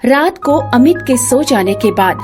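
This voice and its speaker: A woman's voice: high-pitched, expressive, a little slow, over music. A woman storyteller giving scripted narration of a family moral story.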